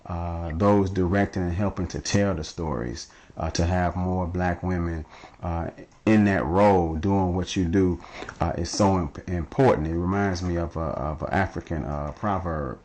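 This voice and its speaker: A man hosting a casual interview, relaxed and chatty, who chuckles at his own jokes. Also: deep voice, moderate pace, a little hiss or hum. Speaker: radio show host.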